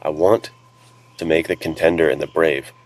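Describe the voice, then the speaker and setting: A man's voice: rumbling low, steady tone, normal speed. A man co-hosting a podcast, talking in an informal, conversational way. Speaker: podcast co-host. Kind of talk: casual podcast chat.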